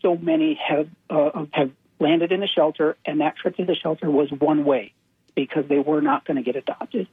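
Husky man on a tinny phone line. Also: a little high, steady tone, normal speed, no room sound. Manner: earnest, repeats words as he restarts. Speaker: interview guest, animal welfare analyst. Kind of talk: phone-in radio interview.

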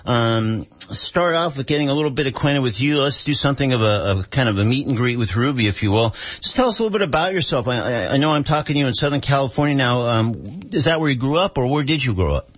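Hesitant radio host full of ums. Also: male voice, medium pitch, expressive, fast talker, hardly any pauses, no room sound, highs cut off. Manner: hesitant, lots of uh and um. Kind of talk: radio interview.

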